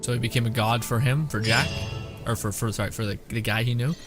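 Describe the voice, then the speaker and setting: A young man giving casual, rambling gameplay commentary as a streamer, thinking out loud. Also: medium pitch, fairly even intonation, fast talker, over music. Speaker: game streamer.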